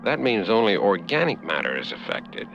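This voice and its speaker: An actor playing an earnest male ship's doctor in an animated series, explaining lab findings. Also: lowish voice, normal speed, creaky, highs cut off, slight background noise.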